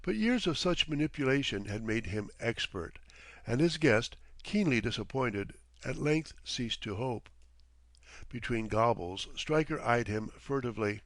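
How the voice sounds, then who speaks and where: A man narrating a literary audiobook in deliberate, dramatic phrases. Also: mid-range voice, lively intonation, dry recording.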